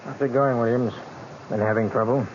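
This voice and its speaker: A man plays a hospital doctor in an old radio drama, addressing a colleague. His voice sits at an average pitch, in 1940s acting style. The sound is muffled with faint noise. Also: fairly even intonation, brisk, a little mellow, close to an everyday voice. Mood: sober. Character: male hospital doctor, a colleague bearing bad news.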